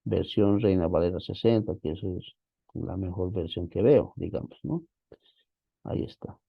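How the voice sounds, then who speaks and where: A man teaching an online class, walking through study software on screen while thinking aloud, often tagging his sentences with a questioning "right?". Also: low-pitched, moderate pace, gravelly, husky, no echo, phone-quality sound. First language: Spanish. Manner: casual, thinking aloud, frequent "¿no?" tags. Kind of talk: screen-share software walkthrough.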